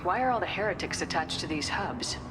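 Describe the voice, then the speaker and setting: Low-voiced woman commander, acted. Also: lively intonation, a little fast, noisy background, lightly acted. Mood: probing, thoughtful. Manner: direct, controlled, businesslike. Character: female military commander, resolute and questioning. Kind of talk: video game character acting.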